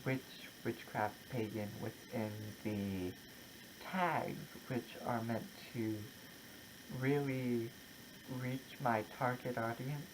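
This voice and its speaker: A content creator talking casually and personally, in a halting, reflective way with short broken phrases. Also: medium pitch, noisy background. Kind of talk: casual personal vlog, opinion talk to camera.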